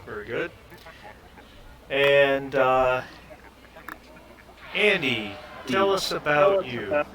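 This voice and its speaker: A man's voice: mid-range voice, animated, extremely slow, a bit bright. A man hosting a tabletop roleplaying game as game master, talking casually in an encouraging, explanatory way.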